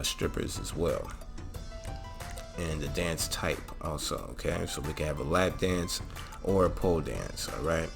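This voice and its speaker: Low-voiced man giving a tutorial. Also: gravelly, a bit bright. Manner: instructional, frequently checking in with the listener. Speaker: host of a game mod tutorial. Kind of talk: casual step-by-step tutorial narration.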